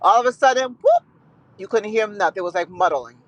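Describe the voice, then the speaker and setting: A podcast co-host talks at a medium pitch in a casual, colloquial way. The delivery is conversational, like reacting to a partner. The recording is dry with little room echo. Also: fast, muffled recording. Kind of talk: casual chat.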